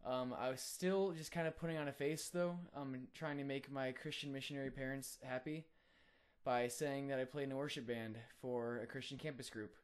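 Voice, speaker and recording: A young man, a college student, sharing a personal faith testimony in an earnest, reflective way with frequent filler pauses. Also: medium pitch, fairly even intonation, clean tone. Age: young adult.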